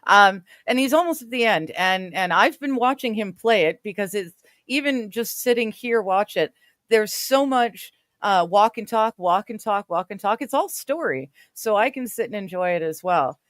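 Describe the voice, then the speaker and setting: A woman's voice: mid-range voice, expressive, moderate pace, no room sound. Woman in her forties, casual chat, laughs a lot, dry close sound. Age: 40s. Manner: chatty, laughs often, self-deprecating. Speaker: streamer chatting with friends.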